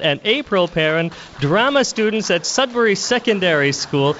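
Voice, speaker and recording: A man's voice: fairly high pitch, fairly bright tone, dull audio. A man presenting a live local radio show as host.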